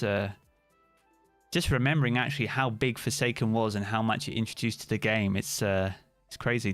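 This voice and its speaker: A man's voice: lowish voice, a bit bright, no room sound. A British man streaming live, chatting casually and answering viewers' comments by name.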